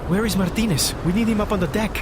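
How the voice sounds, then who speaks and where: A man's voice: high-pitched, fast talker, lightly acted. An actor playing a loyal, worried sailor, who speaks respectfully to his captain in a radio drama. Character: loyal male sailor, anxious, deferential. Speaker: ship's mate. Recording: loud rain and storm noise.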